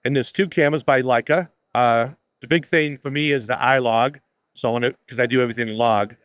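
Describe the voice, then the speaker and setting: A man with a loud voice, chatting casually as a video creator interviewing at a trade show. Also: medium pitch, fairly even intonation, no echo. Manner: loud, chatty, asks quick questions. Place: busy trade show floor.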